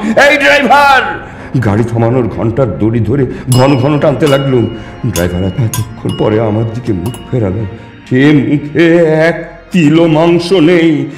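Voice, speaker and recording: A man reading a ghost story in the first person, theatrical and suspenseful, with deliberate pauses and repetitions. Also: a little high, warm tone. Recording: echoing reverb with music underneath. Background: background music.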